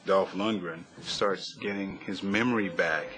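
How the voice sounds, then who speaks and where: A man's voice: low-pitched, unhurried, heavy background noise. A man giving interview commentary, recapping a film.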